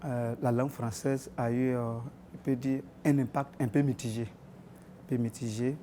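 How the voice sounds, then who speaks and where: A man's voice: mid-range voice, little pitch movement, moderate pace, warm tone, a little hiss or hum. A man, answering a street interview earnestly and hesitantly. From Côte d'Ivoire.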